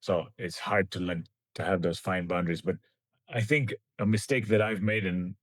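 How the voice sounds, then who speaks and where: A man in his forties from Kenya speaks as a scientist guest, his voice sitting low. He talks in a thoughtful, halting way and often restarts his phrases. The sound is close and dry. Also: fairly even intonation, no echo. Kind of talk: reflective interview answers.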